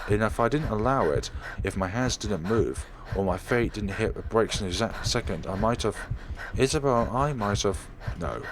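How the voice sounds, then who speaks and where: Guy with a low voice reading a horror visual novel out loud, dramatic, pretty noisy audio. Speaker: let's player reading a game aloud.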